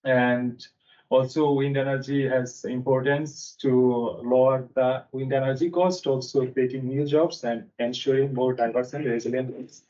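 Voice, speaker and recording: A young man from Bangladesh presenting a technical seminar in a conference room, talking haltingly with frequent fillers. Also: mid-range voice, level tone, darkish tone. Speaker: seminar speaker. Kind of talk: technical lecture. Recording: distant mic, dull sound.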